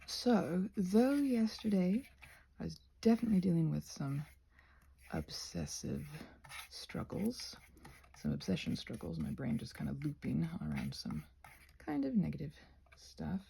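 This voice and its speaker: A woman chatting casually and playfully while crafting, often interrupting herself with trailing asides, her voice breathy and often dropping to a near-whisper. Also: deep voice, big pitch swings, measured pace, mellow. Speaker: craft and ASMR video creator. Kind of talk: casual rambling vlog chat while crafting.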